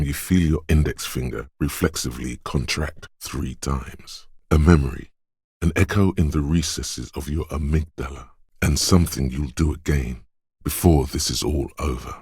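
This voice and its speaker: A man voicing a detective's gun-obsessed inner voice in a video game, speaking in a measured, deliberate, weighty way. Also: darkish tone, no room sound, clean studio sound, heavily acted. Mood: urging. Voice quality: very deep, husky.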